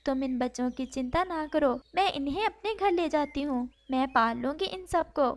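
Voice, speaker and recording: A woman voicing a kind, caring female sparrow in a cartoon story, with a gentle, earnest, motherly manner. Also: sits high, moderate pace. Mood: determined. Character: kind, caring female sparrow who adopts orphaned chicks. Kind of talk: Hindi cartoon story acting.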